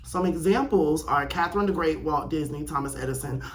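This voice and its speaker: A man's voice: on the high side, a little fast. A young man with a blunt, opinionated, slangy way of talking, giving casual solo commentary as he reads aloud and reacts. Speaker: host of a personal video channel.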